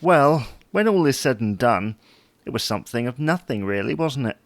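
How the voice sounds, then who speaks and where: A man's voice: mid-range voice, expressive, normal speed, dry recording, natural voice. A man playing a dry, long-suffering husband who placates his wife in a domestic audio drama. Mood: wry, grumbling. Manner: wry, dryly placating. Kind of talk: domestic comedy-drama dialogue.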